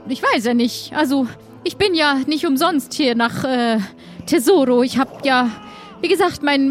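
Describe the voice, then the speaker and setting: A woman playing a prim, haughty, easily flustered traveller who speaks formally and stammers in an improvised audio play. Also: high-pitched.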